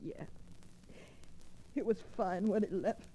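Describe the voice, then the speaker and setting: A woman playing an anxious, plain-spoken American boxer's wife with halting delivery in old radio drama style. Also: mid-range voice, dark tone, a little hiss or hum, natural voice, rough recording. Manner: halting, unpolished speech. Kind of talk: 1940s-50s radio drama acting.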